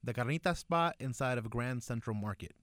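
A man's voice: mid-range voice, a little fast, a bit bright, dry recording, studio quality. A man co-hosting a casual food chat, talking in a laid-back, slangy way. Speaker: podcast co-host.

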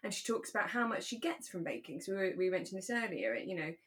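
A British woman chatting reflectively as a podcast co-host, in a relaxed conversation. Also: mid-range voice, fast talker. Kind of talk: relaxed conversational discussion of a radio drama.

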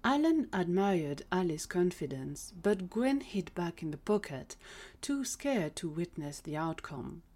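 Low-pitched woman narrating an audio story. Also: very animated, a little slow, dark tone. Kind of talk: scripted audio drama narration.

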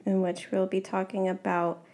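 A woman's voice: medium pitch, completely flat delivery, moderate pace, a little mellow. A woman from New York, a guest on a podcast, talking in a casual, earnest way.

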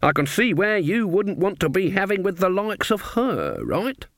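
A man's voice: high voice, wildly swooping pitch, moderate pace, a bit bright, no room sound, studio quality, voice pushed up, exaggerated voice. A man reading a story, voicing a shrewd old witch woman who talks in a sing-song, falsely innocent country dialect. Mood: mock-innocent.

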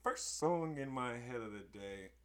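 A man with a hoarse voice hosting a casual podcast chat, emphatic and excitable. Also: mid-range voice, unhurried. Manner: hype, emphatic, bursts into loud exclamations. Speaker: podcast host.

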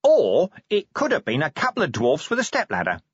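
A man reading a story plays a cheerful, cheeky corporal. He is blunt and practical, his voice pushed up high and bouncing around, on a slightly dull recording. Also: big pitch swings, fairly quick, a bit bright, husky, no room sound, exaggerated voice.

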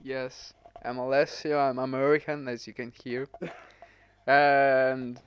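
Young guy on a podcast, smooth clear voice, earnest, keeps listing reasons. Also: mid-range voice, level tone, slow, dry recording, dull audio. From Italy. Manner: earnest, piles up examples. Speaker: podcast guest. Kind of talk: casual podcast chat.

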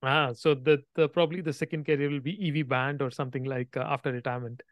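A man hosting a podcast interview, friendly and a bit halting as he asks questions. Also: medium pitch, steady tone, dry recording, highs cut off. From India. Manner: friendly, halting phrasing with restarts. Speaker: podcast host.

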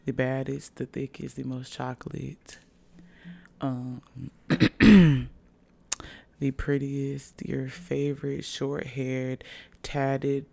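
Raspy hoarse young woman rambling on her podcast, playful, bit of vocal fry, close dry mic. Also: extremely low pitch, a little mellow, no echo. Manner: chatty, playful, hyping herself up. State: hoarse after a long night. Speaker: podcast host. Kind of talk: casual solo podcast chat. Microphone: podcast microphone.

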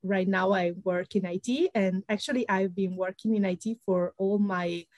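A woman in her thirties with an Italian accent, answering interview questions thoughtfully with frequent pauses. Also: mid-range voice, fairly even intonation, a little mellow, no room sound. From Italy. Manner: thoughtful, many "uh" pauses, light laughs. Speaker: guest, pre-sales consultant.

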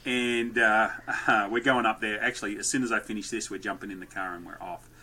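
A man guesting on a podcast, telling stories and dad jokes loudly in a rambling, lively way. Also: lowish voice, a little fast, husky. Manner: loud, joke-telling, rambling. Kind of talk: lively conversational storytelling.

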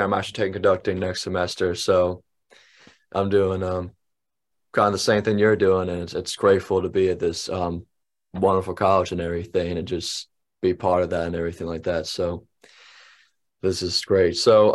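A young man hosting a casual interview in a conversational way. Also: sits low. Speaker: interview host.

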